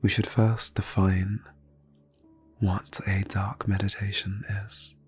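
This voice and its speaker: A man leading an ASMR guided meditation in a soft, breathy near-whisper, phrasing slowly and soothingly with long pauses. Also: on the low side, little pitch movement, soft background music. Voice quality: breathy, hushed.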